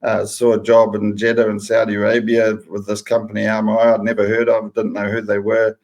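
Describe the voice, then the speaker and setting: A middle-aged man with a New Zealand accent speaks as an interview guest. His voice is low and quite flat. He lists things in a steady, matter-of-fact way. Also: a little fast. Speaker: guest, food safety professional. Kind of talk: casual interview.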